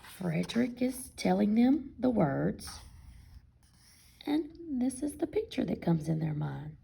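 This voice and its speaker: A woman reading a picture book aloud as a teacher, expressively, pausing to question and explain to young listeners. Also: medium pitch, very animated, gravelly, mellow, slightly rough.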